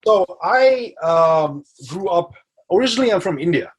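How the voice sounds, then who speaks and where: Chatty guest type, man in his 40s from Dubai, husky, easygoing storyteller. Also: high voice, lively intonation, moderate pace, poor audio. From grew up in Dubai. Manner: chatty, tags lines with "right?" and "you know". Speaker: podcast guest. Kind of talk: casual interview storytelling.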